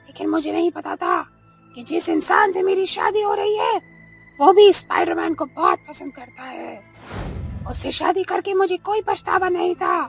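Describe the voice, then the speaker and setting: A woman voicing a vengeful giant spider witch ghost in a cartoon horror story, with a shrill, menacing, dramatic delivery. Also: full character voice.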